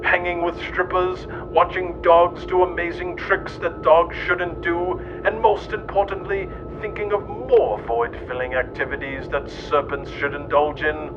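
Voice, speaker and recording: A man in his thirties giving a scripted, mock-solemn storybook narration in a deadpan, grandiose way. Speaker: host reading comic mock-history. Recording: dull, noisy lo-fi track with rumble.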